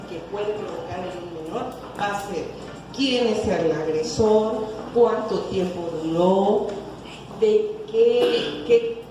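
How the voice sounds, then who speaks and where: Mexican woman lecturing in an echoey hall. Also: medium pitch. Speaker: lecturer, clinical psychologist. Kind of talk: conference talk answering audience questions. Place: conference hall with reverberation. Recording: echoey hall, distant mic, noisy.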